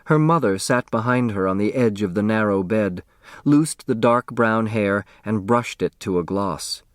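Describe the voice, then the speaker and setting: A man narrating a children's novel as an audiobook, with expressive, deliberate storytelling on a close studio microphone. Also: lowish voice, dry recording.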